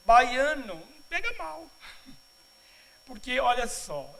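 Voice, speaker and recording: A middle-aged man from Bahia, Brazil, giving a spiritual lecture at a congress, mixing humorous asides with measured, didactic explanation. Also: extremely high pitch, big pitch swings, gravelly, fairly bright tone, a little hiss or hum. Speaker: congress speaker. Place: congress auditorium.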